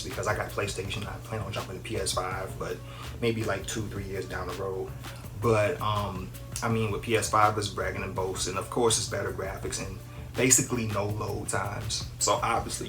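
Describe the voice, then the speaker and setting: A man in his thirties from Minnesota, talking in a low, relaxed register. He rambles casually with plenty of fillers and restarts. Music plays clearly behind him. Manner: rambling, conversational, lots of fillers. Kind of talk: casual chat.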